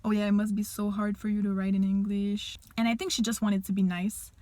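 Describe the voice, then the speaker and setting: A woman's voice: medium pitch, level tone, dark tone, dry recording. Young Quebecois woman, dry close sound. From Quebec, Canada. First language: French. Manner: candid, reflective, pausing mid-thought to rephrase. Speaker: vlogger. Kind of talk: casual personal chat to viewers.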